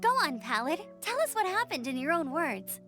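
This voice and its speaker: A woman's voice: high voice, sing-song, moderate pace, bright, forceful tone, faint music behind, exaggerated voice. An actress playing a sociable, encouraging young woman making introductions in an anime fantasy game.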